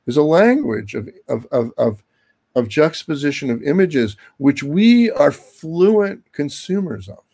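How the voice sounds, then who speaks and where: A man's voice: a little high, big pitch swings, measured pace, gravelly, mellow, slightly rough. A man in his sixties giving an extemporaneous academic talk on a video call, discursive and full of hedging asides. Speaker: academic speaker. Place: book-lined study at home, indoors. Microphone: computer mic on a video call.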